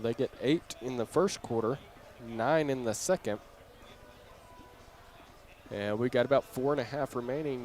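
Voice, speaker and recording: A man doing an unscripted live halftime recap as a sports announcer, conversational and halting as he reads out stats. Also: medium pitch, darkish tone. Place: high school game venue, beside fans. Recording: live venue with background noise. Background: faint ambient venue noise.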